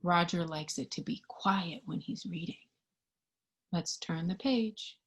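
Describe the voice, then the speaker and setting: A woman reading a picture book aloud to young children in an expressive, child-directed storytime style. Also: lowish voice, takes it slowly, slight background noise. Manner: expressive, child-directed, with questions and sound effects.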